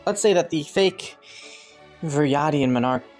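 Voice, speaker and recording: A woman's voice: low-pitched, lively intonation, moderate pace, darkish tone, soft background music. A woman playing a young scheming prince in a romantic audio roleplay, courteous and formal, with flustered stammers. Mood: businesslike, composed.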